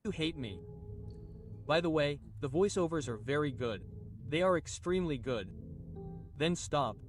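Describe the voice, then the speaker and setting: Heard from a distance over faint music, a man with a slightly high voice comments on a game. He speaks in short phrases, often repeating himself and asking questions. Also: expressive, unhurried. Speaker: video narrator.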